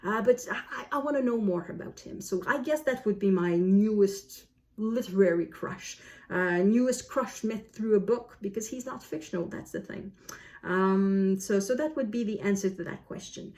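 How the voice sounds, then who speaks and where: Woman chatting casually, halting and self-correcting. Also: fairly high pitch, a little mellow. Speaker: book vlogger. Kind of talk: casual book chat to camera.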